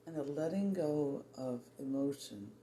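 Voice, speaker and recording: A meditation guide speaking soothingly in short, measured phrases with long pauses, offering spiritual affirmations. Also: mid-range voice, dark tone. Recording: roomy echo, faint background noise.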